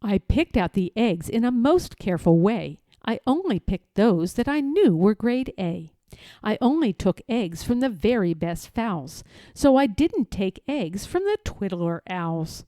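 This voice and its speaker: A woman reading a children's story aloud in a theatrical, rhythmic way, as expressive storytelling. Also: medium pitch, no room sound, clean studio sound. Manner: theatrical, rhythmic rhyming delivery. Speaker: storybook reader.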